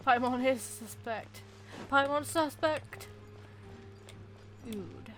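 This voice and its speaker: A woman's voice: sits high, drawn-out words, fairly bright tone. A woman giving casual playthrough commentary, with slangy, teasing reactions. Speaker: gaming video player and commentator. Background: music.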